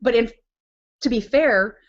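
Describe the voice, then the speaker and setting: Brief: chatty co-host woman, slightly high voice, dull lo-fi webcam sound, wry and giggly. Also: unhurried. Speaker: podcast co-host. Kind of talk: casual chat. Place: living room with a couch. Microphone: webcam on a video call. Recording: dull lo-fi video call audio.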